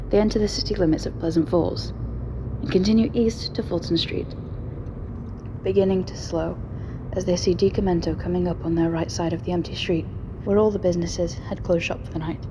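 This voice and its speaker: A woman's voice: lowish voice, fairly even intonation, brisk, noisy background. A woman reading scripted present-tense story narration as the narrator of an audio drama.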